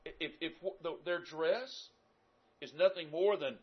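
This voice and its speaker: A Southern American man delivers a folksy, conversational sermon, his voice husky and pitched a touch high. He pushes his points up with emphatic rises. The recording sounds dull and lo-fi. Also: measured pace. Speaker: preacher.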